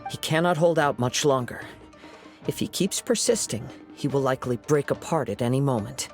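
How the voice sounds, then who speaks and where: A man voicing a calm, poetic young samurai. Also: mid-range voice, normal speed, faint music behind, natural voice. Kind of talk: game voice acting.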